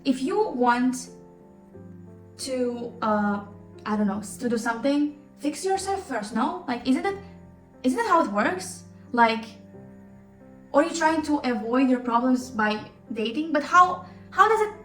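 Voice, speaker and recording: High-voiced Ukrainian woman in an echoey room. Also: measured pace, recorded from a distance. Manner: animated, acts out dialogue, frequent restarts. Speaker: vlogger. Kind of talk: casual storytelling to camera. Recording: echoey room, voice off-mic, faint music.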